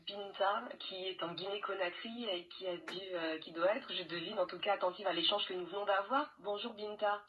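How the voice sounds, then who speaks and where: A woman's voice: mid-range voice, a little fast, hardly any pauses. Woman hosting a radio phone-in, kinda far from the mic, muffled shortwave audio. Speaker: radio host. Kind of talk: hosting a health call-in show. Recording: distant, dull shortwave radio broadcast.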